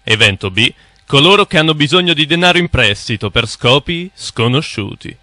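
Guy doing a know-it-all cartoon mentor narrating, impatient, bright forceful voice, really dry sound. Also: fairly high pitch, expressive, normal speed, no room sound. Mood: knowing, brisk. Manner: didactic, impatient. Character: smug, knowing cartoon guide lecturing on banking. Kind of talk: animated cartoon narration and dialogue.

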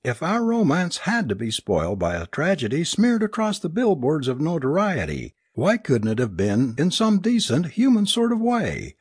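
A man's voice: fairly high pitch, wildly swooping pitch, normal speed, few pauses, a little mellow, husky, no echo, studio quality, pitched above the performer's own voice, heavily acted. A man voicing a distraught, bitterly sarcastic woman who declaims theatrically in an audiobook story. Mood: anguished, raving.

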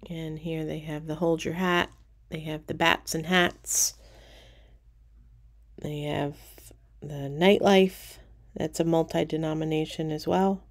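A middle-aged woman casually narrating a walking tour of a cruise ship casino, relaxed and pointing things out as she goes. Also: low voice, takes it slowly, pauses often.

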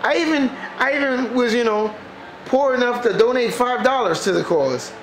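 High-voiced playful man in an echoey room. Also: lively intonation, moderate pace. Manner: playful, repeats himself. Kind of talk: casual joking chat. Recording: echoey room with loud crowd chatter.

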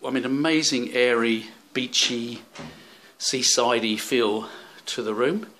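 A man's voice: mid-range voice, takes it slowly, bright, forceful tone, slight background noise. A man narrating a handheld walking tour of a seaside hotel, rambling with many fillers and restarts. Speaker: travel vlogger. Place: hotel rooms and seaside street. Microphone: handheld camera.

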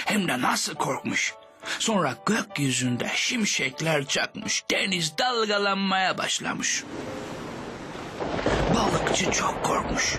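An actor voicing a jolly older fisherman, telling children a moral tale in a cartoon. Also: fairly high pitch. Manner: animated, unhurried storyteller's delivery. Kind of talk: animated cartoon storytelling to children. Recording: music behind the voice. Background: music.

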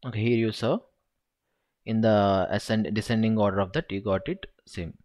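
Low-voiced man thinking aloud over code. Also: steady tone, moderate pace, darkish tone. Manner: thinking aloud, counting step by step. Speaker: SQL tutor. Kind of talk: screen-recorded coding walkthrough.

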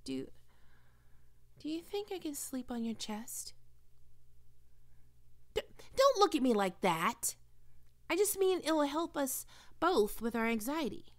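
A woman voicing a prickly, flustered young catgirl roommate in an intimate ASMR roleplay, halting and defensive but secretly soft. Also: sits high, sing-song, exaggerated voice. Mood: shy, grateful.

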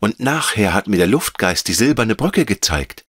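A curious, sensitive little girl in a fairy tale, as a male narrator puts her on. She speaks earnestly and a touch pleadingly, her voice lifted well above his own and lively in melody. The reading is dry and close, with soft music underneath. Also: on the low side, moderate pace, breathy edge, no echo, voice pushed up, exaggerated voice.

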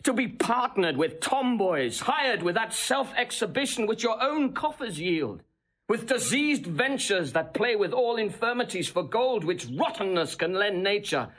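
Husky, scheming Roman seducer. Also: male voice, high-pitched, sing-song, measured pace, fairly bright tone, exaggerated voice. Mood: impassioned outrage. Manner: theatrical, declamatory, elaborate courtly phrasing. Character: smooth, scheming Roman seducer, flattering and insinuating. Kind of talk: classical Shakespearean audio drama acting.

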